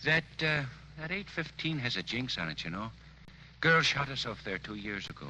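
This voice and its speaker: An American actor playing a sarcastic, wisecracking hotel night clerk in an old radio drama. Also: male voice, medium pitch, very animated, normal speed, sharp tone, rough recording. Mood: gossipy. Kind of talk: 1940s radio acting. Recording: old radio recording, faint noise.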